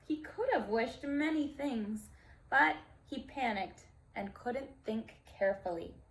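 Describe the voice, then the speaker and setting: A woman storyteller reading aloud to young children in a deliberate, emphatic way, with simple explanatory asides. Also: on the high side, lively intonation, poor audio.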